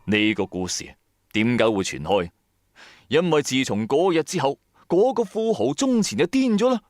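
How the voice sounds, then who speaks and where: A man's voice: mid-range voice, sing-song, normal speed, no room sound. A man with a Cantonese accent narrates a first-person ghost story in a colloquial, dramatic, suspenseful way. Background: music.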